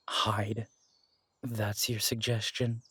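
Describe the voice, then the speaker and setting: A steady detective who protects the one he loves, acted by a man, speaking slowly in a husky, mid-pitched voice. He soothes and pleads rather than shouts, close to a dry mic. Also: no echo, exaggerated voice. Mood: weary. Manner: soft and reassuring, pleading, peacemaking. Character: steady, protective male detective, tender with his partner. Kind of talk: audio roleplay drama acting.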